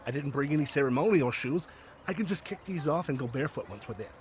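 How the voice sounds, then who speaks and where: An actor playing an earnest, reverent hippie festival-goer in an audio drama. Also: male voice, a little high, expressive, quick pace, a little hiss or hum, natural voice. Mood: earnest, excited. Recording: loud music and festival crowd behind.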